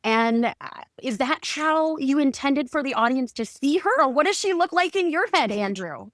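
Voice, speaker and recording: Chatty lady hosting a podcast interview, enthusiastic, voice a bit high, close dry sound. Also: animated, normal speed, a bit bright, no echo. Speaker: podcast host interviewing show creators.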